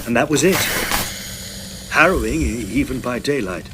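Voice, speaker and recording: A man's voice: medium pitch, animated, heavy background noise, close to an everyday voice. Man playing a stern young student, swooping intonation. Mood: grave narration. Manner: commanding, deliberate, grim. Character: stern, resolute young Oxford student narrating his confrontation. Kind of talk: period audio drama acting and narration.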